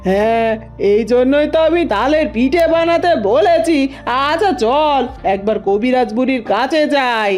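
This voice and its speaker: Scheming older village mother-in-law, played by a woman: high, unhurried, scolding cartoon delivery. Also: animated, a little mellow, faint music behind. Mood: conspiratorial. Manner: scolding, imperious. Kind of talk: cartoon fairy-tale voice acting.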